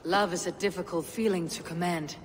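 A woman's voice: on the low side, fairly even intonation, fairly quick, big-room sound, a little hiss or hum, natural voice. A woman playing a stern, blunt young Viking warrior in a video game, speaking in a terse, dry, guarded manner. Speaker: actor in a video game cutscene. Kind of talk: video game drama acting.

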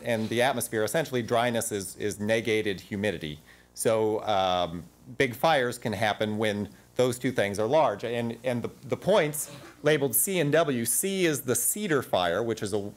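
A man in his forties giving an academic panel talk in a conference room on a lapel mic, animated and full of restarts. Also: medium pitch. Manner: animated, gesturing at slides, frequent restarts.

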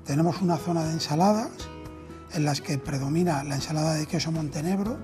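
A man's voice: fairly high pitch, brisk, music in the background. A man in his thirties with a Castilian Spanish accent, speaking conversationally in an interview as a restaurant owner, on a lapel mic. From Spain. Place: indoors in an empty restaurant dining room.

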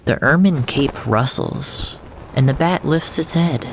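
A narrator reading surreal literary fiction in a measured, first-person storytelling style with dramatic pauses. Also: mid-range voice, a little hiss or hum, low-quality recording. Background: music.

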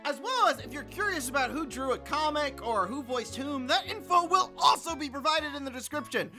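A woman's voice: sits high, animated, a little fast, over music. A woman hosting her channel with a casual, chatty introduction. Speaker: channel host and voice actor.

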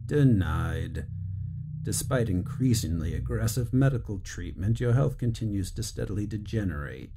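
A man's voice: fairly low pitch, animated, normal speed, vocal fry, warm tone, slight background noise. An actor playing a cold, condescending corporate bureaucrat who gives clipped orders and interrogates his captive. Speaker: superior officer running an interrogation.

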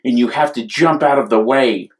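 A man's voice: mid-range voice, normal speed, lightly acted. A man with an American accent voicing a gloomy know-it-all boy who gives emphatic warnings in a picture book. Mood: alarmed warning.

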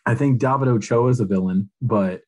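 A man co-hosting a casual fan podcast, talking in a measured, reflective way. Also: mid-range voice, darkish tone.

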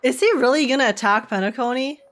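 A young woman giving casual, expressive reaction commentary on a game, joking and using slang as she goes. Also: sits high, fast talker. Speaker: streamer reacting to a game story.

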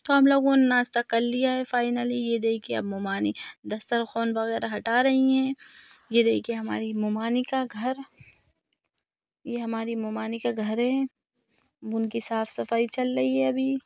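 A woman's voice: on the high side, level tone, unhurried, gravelly, a little mellow, no echo. A woman, narrating a family vlog in a casual way. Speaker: vlogger.

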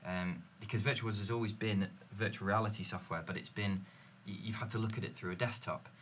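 Low-voiced man explaining business. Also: steady tone, a little fast, fairly bright tone, slight background noise. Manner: fluent, explanatory, storytelling. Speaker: company representative. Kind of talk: panel discussion. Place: dim studio lounge with screen.

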